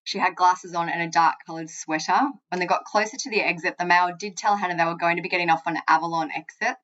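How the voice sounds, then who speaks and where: A woman's voice: lowish voice, fairly even intonation, quick pace, a bit bright, dull audio. A woman hosting a true crime podcast, narrating conversationally and reading aloud with informal asides.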